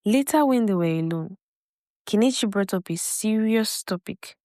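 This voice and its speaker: A woman's voice: medium pitch, animated, normal speed, mellow, no echo, clean studio sound. A woman storyteller reading a scripted tale as narration. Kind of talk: scripted folktale narration with acted dialogue.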